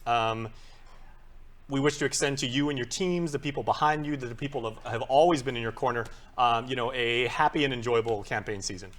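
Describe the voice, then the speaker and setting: A man's voice: medium pitch, a little fast, fairly bright tone. An upbeat man hosts a live TV talk show and keeps the interview moving with casual, chatty asides. His voice sits in a middle register. He speaks from a studio. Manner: upbeat, chatty, lots of fillers. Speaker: TV show host.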